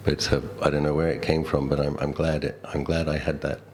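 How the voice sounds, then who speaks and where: Casting: middle-aged Australian male, extremely low register, Buddhist teacher sharing personal anecdotes conversationally and reflectively, light background noise. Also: monotone, moderate pace. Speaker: Buddhist monk giving a talk. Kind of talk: dhamma talk with personal storytelling.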